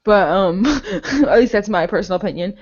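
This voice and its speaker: A woman's voice: mid-range voice, animated, moderate pace, a little mellow, highs cut off. A woman co-hosting a casual podcast, blunt, sarcastic and opinionated, with plenty of swearing. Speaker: podcast co-host.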